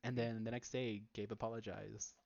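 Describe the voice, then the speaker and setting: A young man telling stories in a casual, sweary chat with friends, halting and defensive as he banters. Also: fairly low pitch, little pitch movement, vocal fry, a little mellow, dry recording, highs cut off. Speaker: podcast host.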